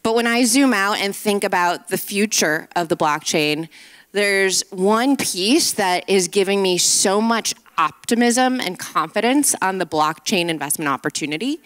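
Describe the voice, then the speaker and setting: A woman moderating a conference panel on stage, speaking fluently and upbeat as she frames the discussion. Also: medium pitch. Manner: fluent, upbeat, framing remarks.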